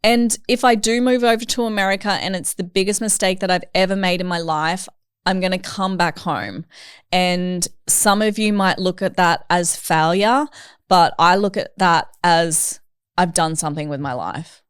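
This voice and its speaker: A woman in her thirties with a thick Australian accent talks in a chatty, earnest way and laughs often. Her voice is smooth and clear. It sounds dry and close, with little room sound. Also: lowish voice, normal speed, no echo. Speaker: podcast host. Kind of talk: casual chat.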